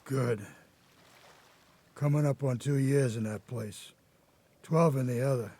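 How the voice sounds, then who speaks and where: An actor playing a haunted old grandfather, an escaped convict telling his story haltingly in a radio drama. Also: mid-range voice, mellow. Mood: urgent, wary. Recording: noticeable background noise.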